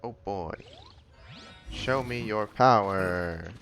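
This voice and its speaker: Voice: deep voice, drawn-out words, creaky, a bit bright. A gamer giving casual, informal, reactive commentary over a video game playthrough. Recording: game music behind the voice. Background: game music.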